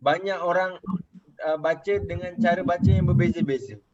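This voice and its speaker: A man's voice: sits high, fairly even intonation, normal speed, a loud crowd. A young adult man with a Malaysian Malay accent teaching children in an online religious class, patiently repeating questions and checking whether they understand. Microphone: video call. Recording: muffled lo-fi video call audio.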